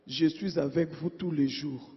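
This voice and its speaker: A woman preacher delivering a sermon, asking her congregation rhetorical questions and swinging between emphatic and hushed delivery. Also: sits low, fairly even intonation, a little slow, slightly rough. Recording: dull sound in a reverberant church hall.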